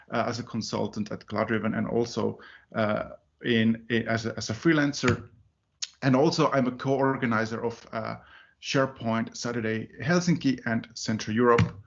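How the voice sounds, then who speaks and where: Finnish-born guy who grew up in zurich, gravelly voice, lots of uhs and restarts, kinda dull call audio. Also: mid-range voice. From Finland, grew up in Zurich. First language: not English. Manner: halting, many fillers and restarts. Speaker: conference speaker. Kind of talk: presentation at a user group meeting.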